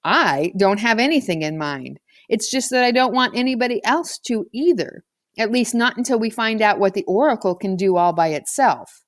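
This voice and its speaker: A woman's voice: a little high, lively intonation, moderate pace, no room sound, studio quality, close to an everyday voice. Woman playing a bossy, theatrical storybook boy. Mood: cocky, casual. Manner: casual slangy persuasion, theatrical when chanting. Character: bossy, confident boy playing a solemn high priest. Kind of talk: children's storybook character reading.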